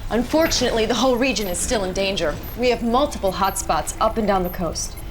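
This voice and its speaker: An actress playing a serious military operations officer, giving a firm, precise mission briefing in a video game. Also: female voice, medium pitch, lively intonation, quick pace, noisy background, natural voice. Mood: businesslike.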